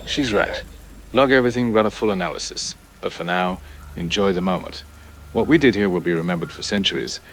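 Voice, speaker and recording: Low, commanding research director. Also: male voice, a little fast, a bit bright, a little hiss or hum. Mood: solemn pride. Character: authoritative male research director commanding control room. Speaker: project director. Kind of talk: audio drama acting. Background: music.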